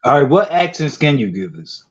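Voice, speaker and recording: A man's voice: medium pitch, sing-song, normal speed, slightly rough, lo-fi sound. A man co-hosting a casual chat, playfully prompting the guest.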